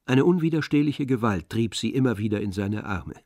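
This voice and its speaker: A man playing the composed, observant narrator of a literary radio drama, with measured delivery. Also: medium pitch, animated, a little mellow, no room sound, clean studio sound.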